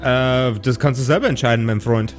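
A man's voice: mid-range voice, fairly even intonation, fairly quick, faint music behind. A man narrating an improvised tabletop audio play as its game master.

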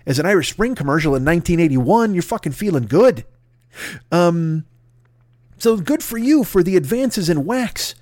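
A man's voice: high voice, lively intonation, normal speed, darkish tone, no echo, studio quality. A man hosting a podcast, delivering a profane, sarcastic comedic rant. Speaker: podcast host.

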